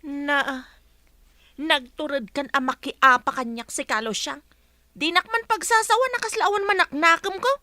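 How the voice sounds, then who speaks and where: A woman's voice: high voice, normal speed, sharp tone, no room sound. A woman playing an affronted, defensive neighbour in a radio drama, protesting. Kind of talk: Philippine radio drama acting.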